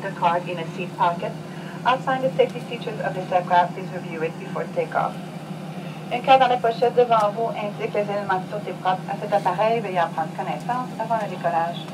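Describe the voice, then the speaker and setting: A woman giving a scripted airline safety announcement in a measured, formal way, heard over the cabin speakers of an airplane. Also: medium pitch. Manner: measured, formal, even delivery. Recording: distant cabin speaker with engine hum.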